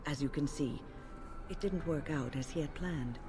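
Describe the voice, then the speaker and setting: Actress as older female mage, stern, protective, formal measured speech, fantasy game voice. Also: low voice, background noise, lightly acted. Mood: grave, measured. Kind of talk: video game voice acting.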